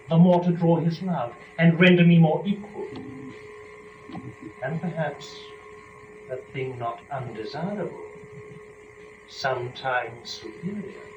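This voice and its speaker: Man reciting as a slow, theatrical fallen Eve, echoey. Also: mid-range voice, natural voice. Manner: measured, theatrical, deliberate phrasing. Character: Eve, young first woman, newly fallen, rapturous and scheming. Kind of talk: dramatic verse recitation of epic poem. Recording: noisy, dull, echoey room from a distance.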